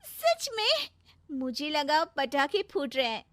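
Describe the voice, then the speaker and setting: A woman voicing a spirited young daughter-in-law in an animated village story, cheeky and quick to answer back. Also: high-pitched, no echo, full character voice. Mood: excited.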